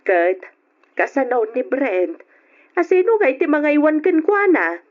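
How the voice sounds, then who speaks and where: A woman with a Filipino accent playing a concerned older mother in a radio drama, questioning and gently reproving. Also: high-pitched, big pitch swings, a little slow. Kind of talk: old-fashioned Ilocano radio drama acting. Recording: dull lo-fi old radio drama.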